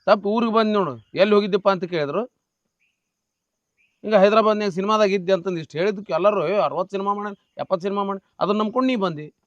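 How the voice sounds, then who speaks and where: A man's voice: sits high, fast talker, fairly bright tone, dry recording. A man playing a scornful fake film director. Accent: North Karnataka Kannada. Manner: scornful, mocking, bullying. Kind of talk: short film drama. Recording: dry, slightly dull, faint music.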